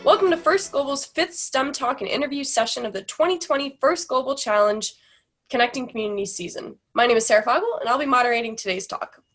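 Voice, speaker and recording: A woman's voice: high-pitched, fast talker, a bit bright, faint music behind. A woman moderating an online panel over a video call, reading the guest introductions in an upbeat way.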